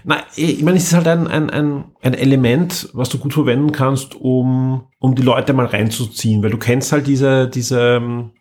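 A man chatting as a podcast co-host, explaining things in halting, repeated starts. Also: medium pitch, fairly even intonation, a little mellow. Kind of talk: casual chat.

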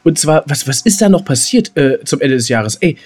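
A man chatting casually as a podcast co-host, colloquial and halting, rambling from thought to thought. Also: fairly high pitch, big pitch swings, darkish tone, slightly rough. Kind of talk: casual, unscripted podcast chat.

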